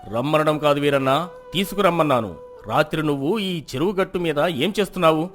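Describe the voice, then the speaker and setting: A man playing a stern, just village landlord who judges in a measured, commanding, formal way in a folk tale. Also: a little high, fairly bright tone, lightly acted. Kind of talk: dramatized Telugu folk moral tale. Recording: music behind the voice.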